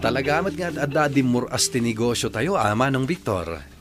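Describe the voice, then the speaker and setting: An actor with an Ilocano accent playing a good-natured, teasing young bachelor brother in a radio drama. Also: male voice, mid-range voice, very animated, a little fast, over music, natural voice. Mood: teasing, admiring.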